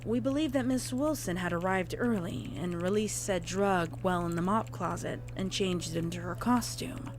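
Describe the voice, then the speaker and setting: Woman narrating over clear background noise. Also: fairly low pitch, normal speed, hardly any pauses. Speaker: audio story narrator. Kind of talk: scripted narration of a horror fan fiction report.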